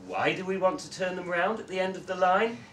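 A man's voice: high voice, fairly quick, fairly bright tone, voice pushed up. A man reading a story, voicing a patient, cheerful woman guide at a railway museum. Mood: patient, explanatory. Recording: distant, echoey room with background noise.

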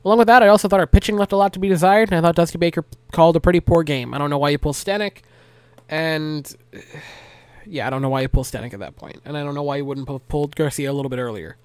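A young man with a stuffy nose recapping a game for his channel, listing stats and giving his fans an animated pep talk from a small room at home. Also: fairly high pitch, no room sound. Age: young adult. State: congested, nasally stopped up. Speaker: sports recap youtuber. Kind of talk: solo game recap vlog. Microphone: large desk mic close to mouth.

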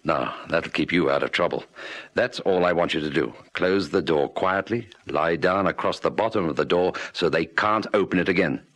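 Warrant officer, very low pitch, calm, courteous, authoritative, old radio play. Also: male voice. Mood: commanding. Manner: measured, reassuring, authoritative. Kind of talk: old radio drama acting.

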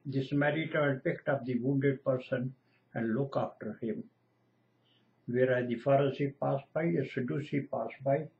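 An older man, a retired lecturer whose first language is Punjabi, slowly and earnestly expounding scripture at home. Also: medium pitch, creaky. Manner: slow, earnest, lecturing, repeats lists of divine names. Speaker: retired lecturer giving Bible exposition. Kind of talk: home-video scripture teaching. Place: small room indoors at home. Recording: dull lo-fi webcam audio.

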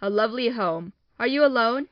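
A woman's voice: on the high side, expressive, brisk, dry recording, highs cut off, natural voice. A woman playing a polite, inquisitive police officer in an audio drama, putting formal, clipped questions. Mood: polite, probing.

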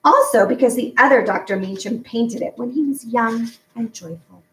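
A woman voicing a kindly, eccentric older doctor in a storybook, speaking in a measured, formal, gently directive way. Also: a little high, natural voice. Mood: wistful. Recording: echoey room, distant mic.

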